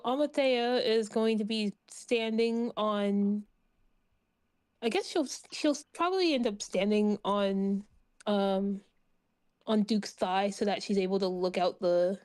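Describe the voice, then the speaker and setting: A woman's voice: mid-range voice, a little slow, darkish tone, no echo. A woman chatting casually and describing her character as a player in a tabletop game. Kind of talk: casual chat and roleplay narration.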